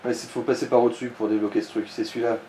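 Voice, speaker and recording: A man's voice: mid-range voice, flat delivery, very fast, dark tone. A man giving casual, explanatory game commentary, guiding a player with coarse slang. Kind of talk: casual let's play commentary. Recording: roomy echo, background noise.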